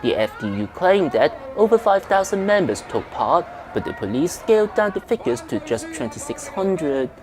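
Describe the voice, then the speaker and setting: A man's voice: fairly high pitch, very animated, normal speed, hardly any pauses, crowd noise. A man reading a scripted news report as a correspondent.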